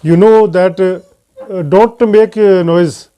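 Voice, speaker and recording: A man with a Pakistani accent hosting an English-learning channel, talking casually to camera and pausing often on "uh" fillers. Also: high voice, mellow, clean tone. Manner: hesitant, frequent "uh" fillers between phrases. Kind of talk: casual unscripted talk to camera.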